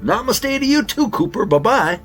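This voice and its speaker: Man playing an animated, earnest raccoon detective. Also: extremely high pitch, moderate pace, faint music behind, exaggerated voice. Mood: businesslike. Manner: polite, businesslike, flustered when surprised. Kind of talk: children's audio drama.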